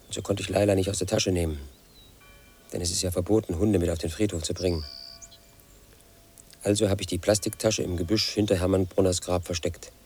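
An actor playing a brooding, paranoid man in his thirties, telling his own story as a flat inner monologue in a radio play. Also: low-pitched, fairly quick, pauses often, faint music behind, lightly acted. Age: 30s. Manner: flat, brooding, quietly obsessive.

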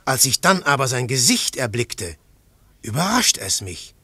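Grüblerischer Detektiv. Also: male voice, medium pitch, sing-song, measured pace, breathy edge, no room sound, exaggerated voice. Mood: brooding. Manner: fervent, declamatory, shifting to hushed musing. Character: intense male detective, brooding philosopher. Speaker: detective narrator. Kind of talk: radio play acting.

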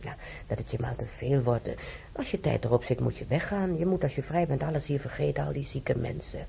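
An actress playing an older hospital patient in a radio drama, motherly and consoling, speaking haltingly and reassuringly. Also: a bass voice, vocal fry, warm tone, slight background noise, full character voice. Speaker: radio play actor. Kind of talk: naturalistic radio drama dialogue.

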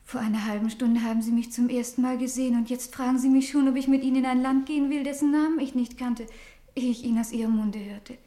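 A woman playing a dreamy, mellow-voiced young woman. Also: on the high side, steady tone, quick pace, natural voice. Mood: wondering, doubtful. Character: dreamy, fearful young woman drawn to a mysterious stranger. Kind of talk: German radio play acting.

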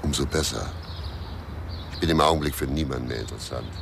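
An actor playing a weary, bitter, hard-drinking former police detective in a radio crime drama, terse and brooding, with a gravelly, husky voice. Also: male voice, a bass voice, fast talker, background noise. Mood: resigned, terse. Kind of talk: radio crime drama acting with inner monologue.

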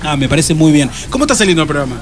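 A man's voice: fairly high pitch, very animated, racing. An Argentine man hosting a casual live radio chat, talking in a jokey, informal, chatty way. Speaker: radio host. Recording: radio talk with music underneath. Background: music.

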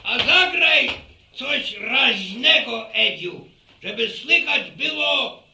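Creaky, slow-talking old village grandfather. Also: extremely high pitch, animated, pushed and bright, full character voice. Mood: teasing. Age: older. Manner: slow, folksy rural talk. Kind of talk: radio drama acting. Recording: echoey, slightly distant radio recording.

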